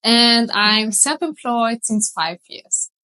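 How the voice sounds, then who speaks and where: This is a woman from Hungary with a strong Hungarian accent, probably in her thirties, speaking English as a guest on an interview show. Her voice sits slightly high and has a bright, forward edge. She talks with lively enthusiasm and laughs often. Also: takes it slowly, rough recording. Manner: enthusiastic, animated, laughs easily. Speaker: guest, marketing mentor. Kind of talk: casual interview chat.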